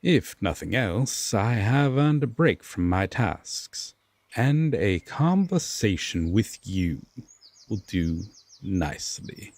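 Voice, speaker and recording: A man playing a brooding, courteous Fae lord in a roleplay audio drama, guarded but reluctantly kind, speaking formally with dramatic pauses. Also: fairly low pitch, very animated, a little mellow, exaggerated voice. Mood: relenting, sympathetic.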